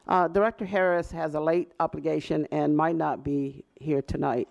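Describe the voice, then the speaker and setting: Male board chair, slightly high and gravelly, formal meeting delivery. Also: steady tone, normal speed, muffled recording.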